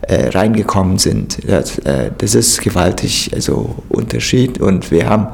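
A man's voice: deep voice, animated, moderate pace, vocal fry, a little hiss or hum. A man from Vietnam, speaking with a Vietnamese accent and frequent hesitations as he recounts his experience. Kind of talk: spontaneous interview account.

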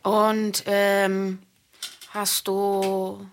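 Slow, hesitant woman interviewer. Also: mid-range voice, monotone, low-quality recording. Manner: hesitant, many fillers. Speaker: radio interviewer. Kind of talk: radio interview.

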